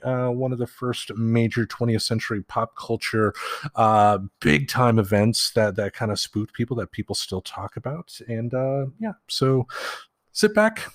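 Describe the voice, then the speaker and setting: A man hosting a podcast with a casual, halting delivery. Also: medium pitch, dry recording, clean studio sound. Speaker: podcast host.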